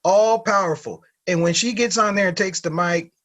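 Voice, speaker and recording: Man, high voice, animated, keeps checking in with listeners. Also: moderate pace. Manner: animated, keeps asking "right?". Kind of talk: casual interview chat.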